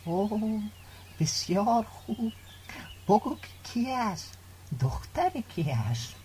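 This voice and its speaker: A man's voice: high-pitched, very animated, warm tone, a little hiss or hum. An actor playing a courteous middle-aged village father in a radio drama, with an Afghan Dari accent. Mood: eager. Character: courteous village father seeking a bride for son.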